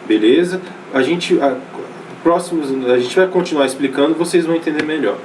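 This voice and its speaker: A man's voice: fairly high pitch, a little fast, far from the mic, slight background noise, low-quality recording. Brazilian male teacher, casual lecturing. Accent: Brazilian Portuguese. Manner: casual, many fillers and restarts, checks in with students. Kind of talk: recorded online class lecture.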